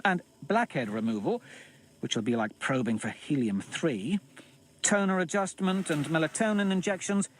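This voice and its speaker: Man doing a fussy tutor, prim, haughty, high voice, lilting scolds. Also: lively intonation, normal speed, slight background noise, natural voice.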